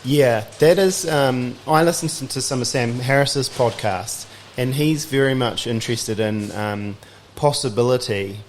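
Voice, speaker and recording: A man of middle pitch hosts a casual podcast, curious and easygoing, filling gaps with quick yeahs. His voice sits over a faint bed of room noise. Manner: relaxed, curious, chatty with agreeing interjections. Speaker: podcast host. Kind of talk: casual interview chat.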